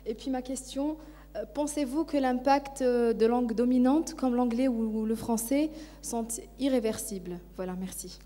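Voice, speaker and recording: A woman's voice: on the high side, normal speed, warm tone. A woman whose first language is Arabic, asking a polite, hesitant question from the audience. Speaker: audience member. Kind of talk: question from the floor. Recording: distant voice in reverberant hall.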